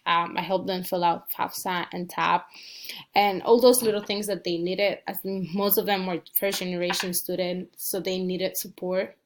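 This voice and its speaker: Young woman narrating a casual screencast. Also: medium pitch. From Dominican Republic. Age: 20s. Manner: casual and earnest, with frequent ums and small restarts. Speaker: student. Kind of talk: screencast walkthrough of a personal website.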